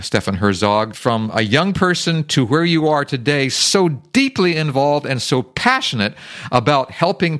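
A man hosting an interview show, giving affirming interjections and smooth links into and out of breaks. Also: medium pitch, a bit bright. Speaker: radio-style show host.

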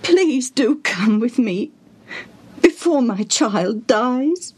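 A woman voicing a distraught, devoted father, a royal official, with urgent, breathy, quivering speech in a storybook reading. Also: fairly high pitch, big pitch swings, unhurried, voice pushed up, full character voice. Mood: pleading. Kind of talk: dramatised Bible storybook reading.

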